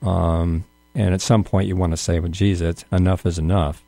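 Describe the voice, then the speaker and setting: A man interviewing a guest, his questions winding and halting with many fillers and restarts. His voice sits low and mellow, and the recording is close and dry. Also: little pitch movement, vocal fry, no room sound. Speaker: interviewer host. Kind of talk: radio interview.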